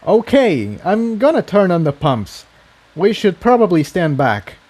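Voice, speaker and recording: Guy doing a young boy pony engineer, earnest n matter of fact, storybook, lightly high voice. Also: sing-song, measured pace, a little mellow, full character voice. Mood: practical.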